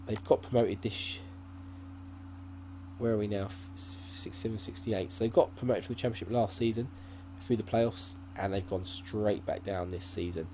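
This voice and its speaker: A man talks his way through a game save in a loose, thinking-aloud ramble, his voice sitting fairly low and mellow. A faint hum runs underneath from the laptop beside his desk mic. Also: fairly even intonation, normal speed, rough recording. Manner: rambling, thinking aloud with many fillers and restarts. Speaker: gaming channel host. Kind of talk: casual let's-play commentary.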